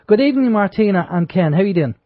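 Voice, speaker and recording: A man's voice: high-pitched, lively intonation, fast talker. An Irish man hosting an internet radio talk show, chatting casually and rambling with plenty of fillers and restarts. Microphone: studio microphone. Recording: dull, lo-fi internet radio audio.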